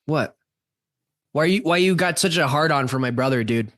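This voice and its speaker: A man playing a defensive, nervous young stoner with halting dude-speak in an amateur radio play. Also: on the high side, animated, fairly bright tone.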